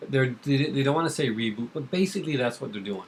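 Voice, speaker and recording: A middle-aged man talks quickly and casually as the host of a homemade video. He rambles and gives firm opinions, with faint noise behind him. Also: medium pitch, expressive. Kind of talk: casual chat about comics. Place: kitchen at home.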